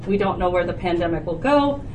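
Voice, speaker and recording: A woman's voice: lowish voice, animated, fairly quick. Woman presenting a budget at a meeting, far from the mic. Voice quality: loud voice. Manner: explanatory, organized, with frequent "so" and "uh". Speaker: health department official presenting to committee. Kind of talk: formal public meeting presentation. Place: county board meeting room. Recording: distant, noisy room recording.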